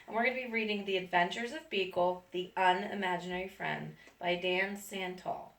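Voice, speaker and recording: Lady reading a kids book out loud, gentle asides, bit of rasp, bright tone, roomy echo. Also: medium pitch, steady tone, normal speed. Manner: gentle, adds friendly remarks to young listeners. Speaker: school staff reading to students. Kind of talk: reading a picture book aloud with chatty asides. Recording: echoey room, far from mic, faint noise.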